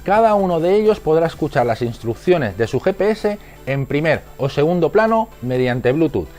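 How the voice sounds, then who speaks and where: Lively higher-voiced Spanish man narrating an explainer. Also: moderate pace, faint music behind. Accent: Spain. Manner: methodical, didactic. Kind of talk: scripted instructional narration.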